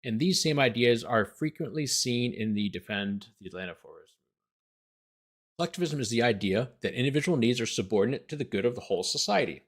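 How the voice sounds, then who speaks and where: A man's voice: medium pitch, expressive, a little fast. A man hosting as a lawyer, reading a document aloud and breaking off for incredulous, sarcastic commentary. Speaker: lawyer host.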